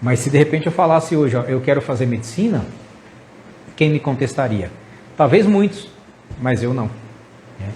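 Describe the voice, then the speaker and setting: A man's voice: medium pitch, lively intonation, normal speed, pauses often, a little mellow, a little hiss or hum, poor audio. A man in his fifties, a psychologist, giving an earnest, encouraging talk to camera, full of lists and rhetorical questions. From Brazil. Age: 50s. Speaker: psychologist host.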